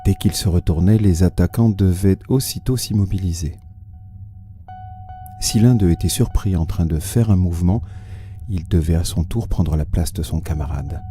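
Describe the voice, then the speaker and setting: An actor playing a haunted, brooding man who narrates his uneasy memories in a solemn, measured literary reading. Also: low-pitched, mellow, soft background music, natural voice. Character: haunted, brooding man recalling an uncanny old house. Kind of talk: dramatised literary reading with sound design.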